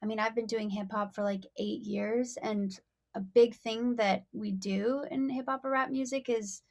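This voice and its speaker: A woman's voice: on the high side, steady tone, normal speed. A young woman, a rapper and songwriter, talking casually as an interview guest, bubbly and full of "like" and "you know.".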